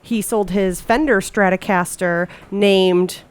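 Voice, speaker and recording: A woman's voice: medium pitch, steady tone, slow, clean tone. A young woman hosting a casual podcast segment, reading music trivia in a chatty, giggly way. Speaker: podcast host. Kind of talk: casual news and gossip segment.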